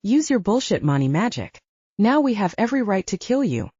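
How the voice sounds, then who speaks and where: An angry brute of a werewolf fighter, acted out by a woman narrator, aggressive and challenging in an audiobook tale. The pitch jumps around with menace, over slightly dull audio. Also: big pitch swings, a little fast, dark tone, slightly rough, dry recording. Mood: angry, menacing. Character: angry, brutish, heavy male werewolf fighter.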